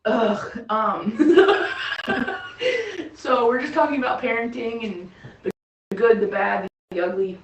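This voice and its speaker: A woman's voice: fairly high pitch, slow, long pauses. Late-20s female stream host, casual and chatty, roomy distant sound. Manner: chatty, laughs easily, addresses the viewers. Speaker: livestream host. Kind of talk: casual chat with a friend. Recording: roomy, distant mic with faint background noise.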